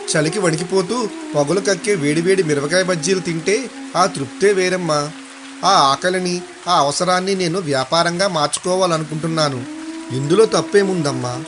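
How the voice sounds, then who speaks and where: A man's voice: sits high, close to an everyday voice. A man voicing an earnest, hardworking young village snack seller in a moral story. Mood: earnest, pleading. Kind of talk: acted dialogue in a moral story.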